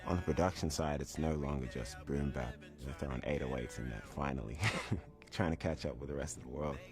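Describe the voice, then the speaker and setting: A man's voice: a bass voice, brisk. A young man, a music producer, talking in an easygoing, wry way in an interview. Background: music playing.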